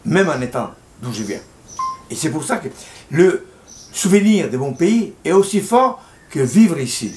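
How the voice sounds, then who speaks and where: An older man from Italy, a painter speaking French with an Italian accent, who reflects on his life and his paintings in a halting, heartfelt way, stumbling over repeated words. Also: fairly high pitch, sing-song, a little mellow. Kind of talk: reflective personal monologue. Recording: roomy echo.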